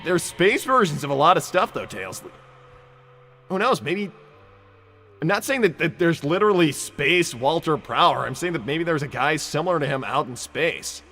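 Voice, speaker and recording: A man's voice: on the high side, lively intonation, moderate pace, pushed and bright, big-room sound, faint music behind, full character voice. A man voicing a laid-back young cartoon hedgehog hero, casual and placating in improvised comedy. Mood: easygoing. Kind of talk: improvised in-character comedy livestream.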